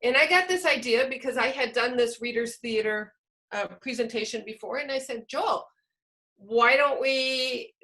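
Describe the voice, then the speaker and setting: Higher-pitched woman presenting a workshop. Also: moderate pace, sharp tone. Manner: explanatory, poses questions to listeners. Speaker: workshop presenter and teacher trainer. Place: online video call.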